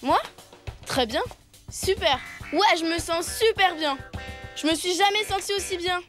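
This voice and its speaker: A high-pitched young cartoon elephant boy, anxious and keen to please. He talks in slangy, expressive schoolboy French dub style over clear background music. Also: unhurried. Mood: eager to please.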